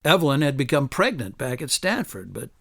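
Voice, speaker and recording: A man's voice: mid-range voice, very animated, a little fast, creaky, a bit bright, dry recording, clean studio sound, full character voice. An actor playing an earnest, articulate male attorney turned author in a radio drama. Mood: explanatory.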